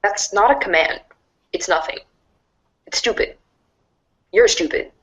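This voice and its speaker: A woman playing a sassy storybook narrator who talks back to commands, expressive and sardonic in read-along style. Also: medium pitch, measured pace, low-quality recording. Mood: stubborn.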